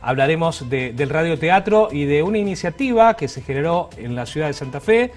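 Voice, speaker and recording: A man's voice: a little high, expressive, fairly quick. A man co-hosting a talk show, chatting casually and piecing his phrases together a little hesitantly. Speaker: television co-host. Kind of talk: casual co-host chat previewing segments.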